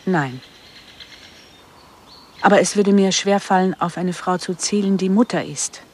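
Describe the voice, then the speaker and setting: A woman's voice: mid-range voice, unhurried, natural voice. An actress playing a resolute, matter-of-fact mother in a German radio crime drama. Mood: cool, determined. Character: resolute, cool mother willing to kill for money. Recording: faint ambient noise behind.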